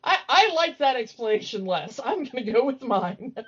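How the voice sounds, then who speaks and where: A woman's voice: fairly high pitch, very animated, normal speed, a bit bright, breathy edge, lo-fi sound. A woman co-hosting a podcast, recapping a cartoon in casual chat with rambling, amused storytelling. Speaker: podcast co-host.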